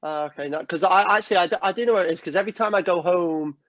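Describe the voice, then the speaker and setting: A man's voice: high-pitched, rapid-fire, a bit bright, no echo, muffled recording. A man from Wales, chatting casually on a podcast as a guest, laughing often and swearing now and then. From North Wales. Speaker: guest singer-songwriter.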